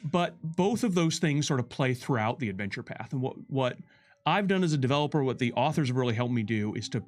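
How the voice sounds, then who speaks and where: Male co-host, mid-pitched, conversational developer commentary; halting but emphatic, stretches words for weight. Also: lively intonation.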